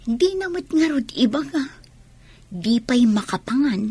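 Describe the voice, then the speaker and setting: A woman with an Ilocano accent and a husky voice playing a worried woman confiding in another, radio comedy drama style. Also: on the high side, sing-song, measured pace, dark tone, heavily acted.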